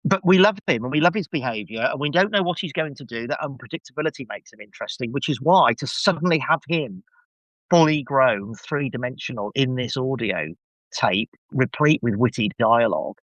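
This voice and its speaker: A man's voice: mid-range voice, animated, a little fast, fairly bright tone, no room sound, muffled recording. Enthusiastic, wry man in a dry setting. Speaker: podcast guest. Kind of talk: casual podcast discussion.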